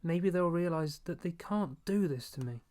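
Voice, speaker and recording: A man voicing a frightened twelve-year-old boy in a storybook reading, with panicky, pleading shouts. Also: a little high, normal speed, pitched above the performer's own voice, lightly acted. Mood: hopeful.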